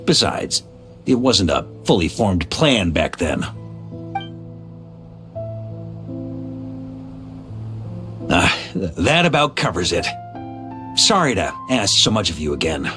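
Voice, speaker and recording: An actor voicing an easygoing, improvising veteran knight leader in a video game, with a breezy manner. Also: male voice, deep voice, sing-song, a little slow. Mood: apologetic. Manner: breezy, hesitant asides between confident explanation. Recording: music behind the voice.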